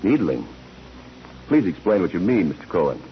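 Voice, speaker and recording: An actor playing a formal, relentless courtroom examiner who questions witnesses deliberately in a 1940s radio drama. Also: male voice, sits low, big pitch swings, soft background music. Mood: businesslike. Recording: muffled, noisy old 1940s radio recording.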